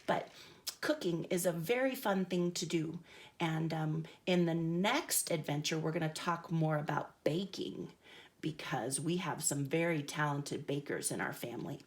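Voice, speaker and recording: An older woman reading a picture book aloud to young grandchildren, chatting gently and asking them questions. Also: sits low, measured pace. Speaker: storyteller grandmother. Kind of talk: children's storytime reading.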